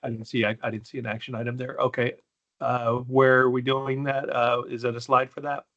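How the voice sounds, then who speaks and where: The audio is dull and lo-fi. A man with a flat, even delivery chairs a board meeting, keeping things procedural and plain-spoken at a middling pitch. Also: a little fast. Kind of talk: informal running of a formal meeting.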